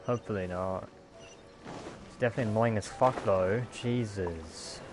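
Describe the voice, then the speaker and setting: A man's voice: on the low side, a little slow. A man giving casual, impatient, sweary commentary as he plays a game. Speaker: game streamer. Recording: game music behind the voice.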